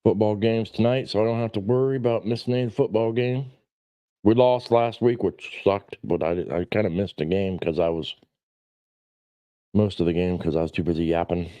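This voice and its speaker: A man in his forties hosts a casual livestream in a very low, dark voice, recorded dry and close. He rambles bluntly, with salty language and self-deprecating asides. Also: fairly even intonation, moderate pace, dry recording. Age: 40s. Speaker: livestream host.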